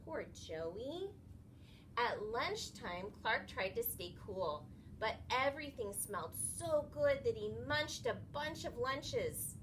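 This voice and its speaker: A woman reading a children's story aloud in an expressive, teacherly way, pausing to put questions to her listeners. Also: fairly high pitch, a little hiss or hum, low-quality recording.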